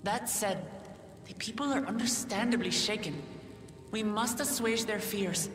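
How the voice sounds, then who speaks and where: An actress performs a young female ruler in a dramatic game cutscene. She speaks earnestly and formally, with measured resolve and grief held in check. Loud music plays behind her. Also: medium pitch, lightly acted. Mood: composed, formal.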